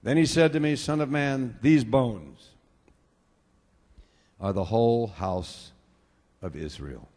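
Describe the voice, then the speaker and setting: A man from the United States preaching a sermon, swinging between quiet talk and loud bursts of fervor with repeated hallelujahs. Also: mid-range voice, slow pace, pauses often, in a large hall. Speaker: pastor.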